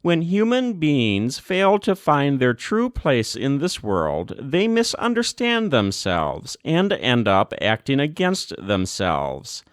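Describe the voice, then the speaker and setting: A man narrates a formal religious text in a slow, deliberate delivery. His pitch moves widely as he stresses key words and sets them off with measured pauses. The recording is dry and close, with very little room sound. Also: huge pitch swings, clean tone, no echo. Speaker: volunteer audiobook narrator.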